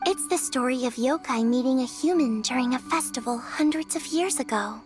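Woman playing a high, polite girl yokai. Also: over music, close to an everyday voice. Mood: earnest storytelling. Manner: polite, measured storytelling. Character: young girl fox-masked yokai, polite, sincere. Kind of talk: video game voice acting.

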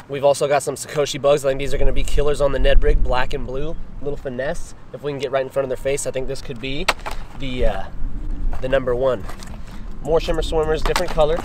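A man's voice: mid-range voice, noisy background. A man with an American accent hosting a casual outdoor fishing vlog, chatting to viewers in colloquial, folksy slang. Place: outdoors by a pond. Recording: music laid over speech. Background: music.

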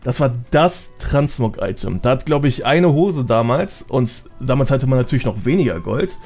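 A young man with a medium-pitched voice talks as a podcast guest. He is chatty and slangy, telling anecdotes casually, with soft music underneath. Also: lively intonation, normal speed.

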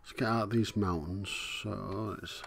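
A man with a hoarse, coughing voice, casually muttering his way through a video game as he plays. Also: lowish voice, steady tone, a little slow. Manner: muttering to himself, easygoing, frequent "right". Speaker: let's play host. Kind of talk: casual gameplay commentary.

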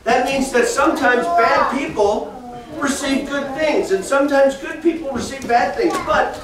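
A middle-aged man teaching a children's Bible lesson, animated and talking straight to the kids, often repeating his phrases. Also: very high voice, normal speed, crowd noise. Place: large classroom with kids seated. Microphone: far camera, no mic seen. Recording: echoey room from far off, kids chattering.

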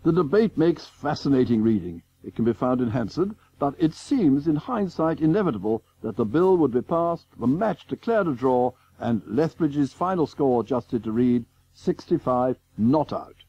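An actor playing a mock-solemn documentary narrator, reading scripted radio narration. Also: male voice, mid-range voice, sing-song, normal speed, hardly any pauses, mellow, breathy edge. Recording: dull, lo-fi radio recording.

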